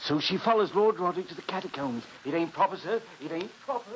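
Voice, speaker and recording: Fussy, animated old-radio servant. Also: male voice, sits high, fast talker, lo-fi sound. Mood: grumbling, rambling. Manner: deferential, folksy, dithering, grumbling. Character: fussy, superstitious, rambling male household servant. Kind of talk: 1940s radio horror acting. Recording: faintly noisy 1940s radio recording.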